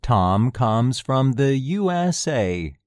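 A man reading section titles and repeating test sentences for a recorded English lesson, slow and deliberate. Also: mid-range voice, very animated, a little mellow, no room sound, clean studio sound. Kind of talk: scripted listening exercise.

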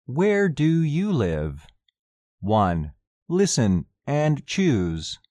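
A man reading section titles and repeating test sentences for a recorded English lesson, slow and deliberate. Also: mid-range voice, wildly swooping pitch, mellow, no echo. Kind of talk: scripted listening exercise.

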